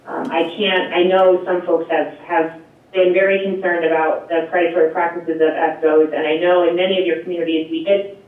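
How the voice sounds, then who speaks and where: A woman presenting a program at a board meeting, explaining and answering questions with a loud voice, many fillers and light laughs. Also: fairly low pitch, level tone, fairly quick. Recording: echoey, distant, tinny phone-like line.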